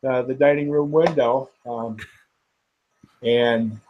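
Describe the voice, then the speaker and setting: A man, a poet and guest, speaking slowly and haltingly with many fillers in an interview. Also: medium pitch, steady tone, dull audio, slight background noise. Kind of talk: interview and poetry reading.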